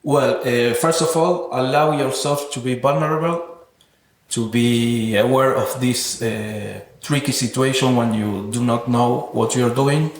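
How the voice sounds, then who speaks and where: A man's voice: medium pitch, steady tone, a little slow. Thoughtful man in an echoing room. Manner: thoughtful, earnest, philosophical. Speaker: interviewed guest, sound artist. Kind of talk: reflective interview answers. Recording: roomy echoing sound.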